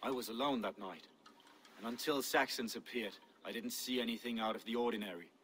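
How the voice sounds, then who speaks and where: An actor playing an earnest Viking visionary in a video game, speaking in a solemn, deliberate, dramatic way. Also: male voice, mid-range voice, fairly bright tone, slight background noise, natural voice. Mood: guarded. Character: mystical Viking seer driven by visions, earnest. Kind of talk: video game cutscene dialogue.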